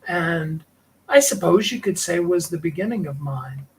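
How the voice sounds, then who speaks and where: A middle-aged man with a higher tenor voice, hosting a livestream and talking in a rambling, heartfelt way with small laughs. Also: expressive, moderate pace, husky, rough recording. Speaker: livestream host and singer. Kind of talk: reflective personal livestream talk.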